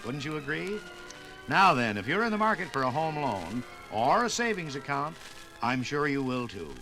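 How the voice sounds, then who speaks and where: American man, vintage radio announcer, animated swooping pitch, bright forceful tone, folksy emphasis, music behind. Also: moderate pace, rough recording. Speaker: radio host and sponsor announcer. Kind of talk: 1950s radio announcing, conversational sponsor pitch.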